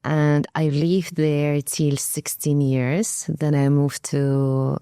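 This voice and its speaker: A woman's voice: low-pitched, level tone, takes it slowly, mellow, clear, resonant tone, dry recording, studio quality. A woman from Kazakhstan whose first language is Russian, speaking as an interview guest in a hesitant way, with many fillers as she searches for words. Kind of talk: conversational interview.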